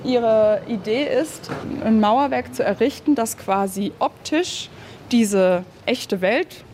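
A woman's voice: on the high side, slow, darkish tone. A woman tour guide explaining to a group, recorded on location in a railway station corridor. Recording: noisy on-location recording with ambience. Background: station ambience.

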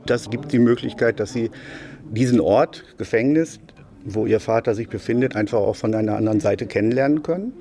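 A man's voice: medium pitch, fairly quick, warm tone. A man who leads a social support group, speaking in an interview. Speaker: social welfare group leader. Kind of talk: interview statement.

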